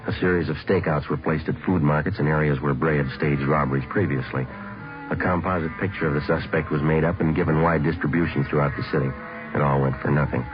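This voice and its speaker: Very deep-voiced deadpan police detective. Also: male voice. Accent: American. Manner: clipped, deadpan. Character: terse, businesslike male police sergeant detective. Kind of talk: 1950s radio drama narration and acting. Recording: dull old radio recording, music behind.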